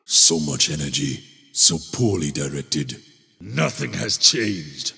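A man playing a gruff, grim warrior of a wolf legion in a video game, menacing and declamatory, with gravel in his voice. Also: extremely low pitch, very animated, takes it slowly, bright, forceful tone, big-room sound, full character voice.

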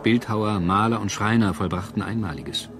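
Male narrator for history documentary, very low register, measured and dramatic, clear music bed. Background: prominent music.

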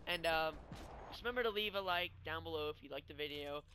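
A man's voice: fairly high pitch, quick pace, sharp tone, slight background noise. A young man, chatting and telling stories casually over gameplay in a rambling, informal way. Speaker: gaming video creator.

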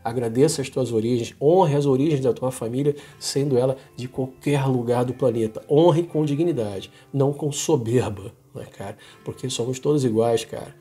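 A man's voice: mid-range voice, moderate pace, few pauses, dark tone, breathy edge, soft background music. A Brazilian man in his forties giving an informal, emphatic opinion monologue to camera in colloquial, slangy speech. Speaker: vlogger. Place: small home office, indoors.

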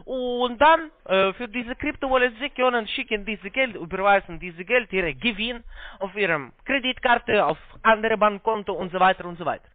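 A man with a foreign accent giving a halting, repetitive, polite phone sales pitch in broken, non-native German. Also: dry recording. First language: not German. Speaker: call center investment salesman on a scam call. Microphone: phone call. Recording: narrow-band phone line sound.

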